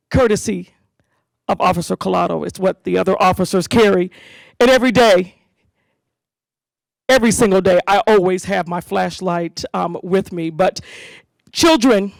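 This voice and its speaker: A woman hosting a school community safety event, giving an animated speech to parents and leading call-and-response chants. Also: mid-range voice, vocal fry, no echo.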